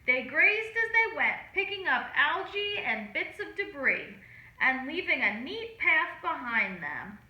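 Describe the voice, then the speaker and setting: A woman reading a picture book aloud to children in an animated, deliberate storytelling manner. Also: sits high, dull audio. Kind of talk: expressive children's read-aloud. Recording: roomy, echoing room.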